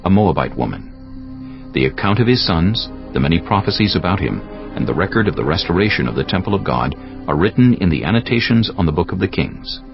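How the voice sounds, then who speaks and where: A man with a gravelly voice giving measured, solemn narration for a dramatized audio Bible. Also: low-pitched. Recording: dull audio with soft music behind. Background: soft background music.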